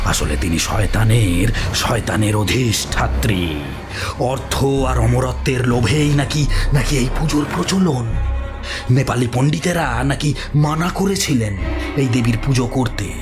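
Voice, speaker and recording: Taunting, animated villain. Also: male voice, mid-range voice. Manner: menacing, halting with repeated words, taunting. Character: gloating, ruthless male villain, kidnapper obsessed with occult secrets. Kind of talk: Bengali horror audio drama acting.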